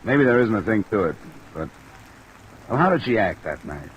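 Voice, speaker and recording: A dogged, hard-boiled investigator in a vintage radio mystery. His deep voice is clipped and matter-of-fact, set over a soft wash of rain on an old recording. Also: sing-song, normal speed, muffled recording, slight background noise. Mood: businesslike. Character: tough, dogged, cool-headed insurance investigator. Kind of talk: old-time radio drama acting and narration.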